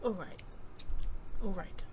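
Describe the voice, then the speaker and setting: A woman's voice: slow pace, dark tone. A woman doing casual video game playthrough commentary with informal, chatty asides. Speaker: gaming video creator. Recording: faint background noise.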